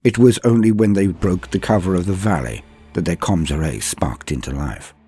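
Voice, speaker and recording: Husky, dramatic male storyteller. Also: low voice, dark tone, faint music behind. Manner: solemn, theatrical, with weighty pauses. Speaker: storyteller, channel narrator. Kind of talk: dramatic scripted war-fantasy narration.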